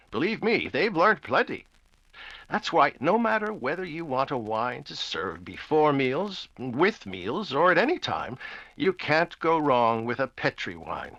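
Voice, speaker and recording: A man's voice: medium pitch, sharp tone, dry recording, lo-fi sound. A man playing a chummy, hearty 1940s radio announcer with folksy, emphatic patter.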